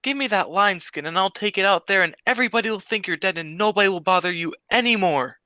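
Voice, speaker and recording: Bright-voiced cowardly bumbling comic sidekick, played by a man. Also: high-pitched, fast talker. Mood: naive, curious. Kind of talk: vintage comedy radio double-act.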